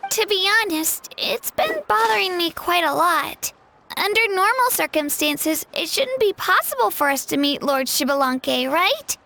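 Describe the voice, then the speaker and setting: A woman's voice: extremely high pitch, animated, a little slow, creaky, sharp tone, slight background noise, heavily acted. A woman voicing an earnest, polite, thoughtful little girl in a video game. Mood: worried. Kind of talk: video game character dialogue. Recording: faint music behind the voice.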